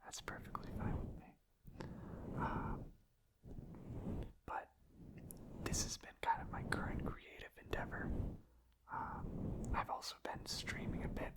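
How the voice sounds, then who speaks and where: Softly whispering man, gentle and rambling. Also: moderate pace, pauses often, poor audio. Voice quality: soft, breathy, unvoiced whisper. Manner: gentle, hesitant, rambling, self-effacing. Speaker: ASMR video creator and streamer. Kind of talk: whispered ASMR ramble, casual and unscripted.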